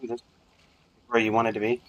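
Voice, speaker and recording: A man's voice: fairly low pitch, completely flat delivery, fairly quick, fairly bright tone, muffled recording, slight background noise. A man giving casual gameplay commentary, muttering to himself with playful, mock-formal phrasing. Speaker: game streamer. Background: game music.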